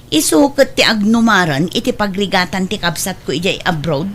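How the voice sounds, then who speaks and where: A woman's voice: mid-range voice, very animated, moderate pace, fairly bright tone, a little hiss or hum. A woman playing a resentful, meddling elder sister in an Ilocano family drama. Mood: insistent, nagging. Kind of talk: Ilocano radio-style family drama acting.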